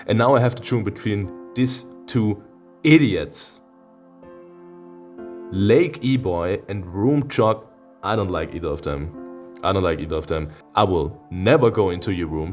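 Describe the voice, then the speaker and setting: Male let's player, deep register, big dramatic exclamations and swearing, music playing behind. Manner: theatrical, exclamatory, swearing reactions. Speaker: streamer playing a visual novel. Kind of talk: let's play commentary and read-aloud.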